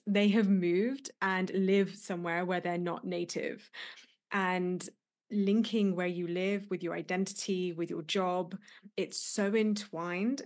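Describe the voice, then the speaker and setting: Slightly low-voiced woman podcast host. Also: a little mellow. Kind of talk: podcast interview.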